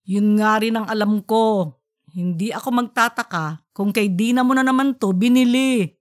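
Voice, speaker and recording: A woman's voice: on the high side, normal speed, lightly acted. A woman voicing a fussy, easily irritated middle-aged husband who grumbles with exasperation in an audiobook story. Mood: grumbling. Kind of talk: audiobook character voice.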